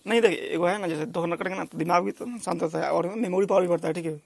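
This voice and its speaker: A man's voice: high voice, steady tone, racing, gravelly, no echo. A man with a Bihari accent in a spontaneous argument, insistent and repetitive, often checking for agreement. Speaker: villager complaining to a priest.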